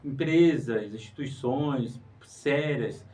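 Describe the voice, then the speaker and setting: A man's voice: medium pitch, slow pace, darkish tone. A man from northern Brazil giving an interview, explaining with rhetorical questions and an emphatic, teacherly manner. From Pará, Brazil. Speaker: guest, writer and museologist. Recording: distant mic with faint noise.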